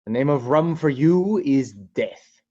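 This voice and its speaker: A man's voice: mid-range voice, lively intonation, takes it slowly, mellow. A man playing a stern, scolding country doctor. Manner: firm, scolding lecture. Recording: dull lo-fi sound.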